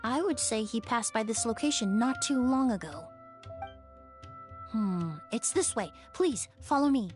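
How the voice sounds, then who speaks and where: An actress playing a calm, polite young investigator in an anime-style game, speaking formally and reassuringly. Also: female voice, a little high, soft background music. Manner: formal, measured phrasing.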